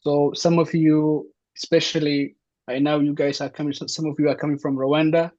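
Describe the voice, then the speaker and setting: A man from Somalia gives an informational talk to an online audience, pausing often with fillers and restarting his sentences. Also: on the high side, level tone, a little mellow. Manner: hesitant, many fillers and restarts. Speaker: presenter. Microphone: video call. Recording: dull lo-fi online session.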